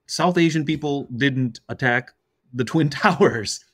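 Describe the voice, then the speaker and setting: A man in his forties from Russia, a comedian guest on a podcast, telling stories in an animated way, laughing mid-sentence and acting out quoted voices. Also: mid-range voice, measured pace, no room sound, studio quality. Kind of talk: casual podcast conversation.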